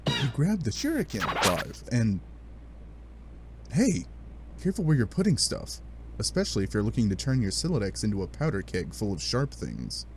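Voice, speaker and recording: A man giving animated, sardonic narration of a comic adventure, with playful dramatic asides. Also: on the low side, moderate pace, background noise. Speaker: narrator of a fan dub read-along.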